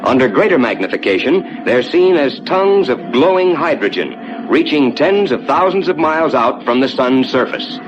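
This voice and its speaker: An American man playing a rocket ship captain, narrating a scripted 1950s ride to his passengers in a measured, reassuring, explanatory way. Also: big pitch swings, lightly acted. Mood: assured, explanatory. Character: assured male rocket ship captain guiding passengers through space. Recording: dull 1950s soundtrack with loud rumbling noise.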